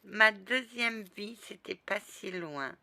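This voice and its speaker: A woman recounts a long personal tale in a loose, rambling way, doubling back and restarting her sentences. Her voice is bright and forward, and she takes her time. The recording is dry, with no room sound. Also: mid-range voice, slow pace, vocal fry, dry recording. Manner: lively, rambling, with restarts and asides. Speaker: storyteller recounting past lives. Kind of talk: casual spoken storytelling.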